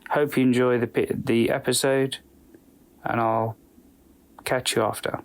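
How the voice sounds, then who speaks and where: Mid-pitched man introducing a show. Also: level tone, a little slow. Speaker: podcast host. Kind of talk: semi-scripted show introduction.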